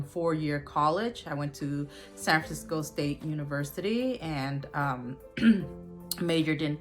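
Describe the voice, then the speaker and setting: A woman in her forties, born in a refugee camp and raised in the U.S., tells her family's history slowly and thoughtfully, with many pauses and fillers. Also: low-pitched. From born in a Thai border refugee camp, raised in the U.S. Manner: thoughtful, with many ums and uhs. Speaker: guest sharing family history. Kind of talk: recorded personal testimony.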